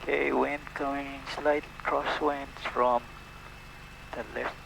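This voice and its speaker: A man's voice: mid-range voice, little pitch movement, slow pace, gravelly, a bit bright. A Filipino-accented man flying a small plane, calling out checklist items and briefings in clipped, procedural phrases. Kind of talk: cockpit checklist callouts and takeoff briefing. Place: inside a small propeller plane cockpit. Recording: noisy small-plane cockpit, engine drone. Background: engine and vehicle noise.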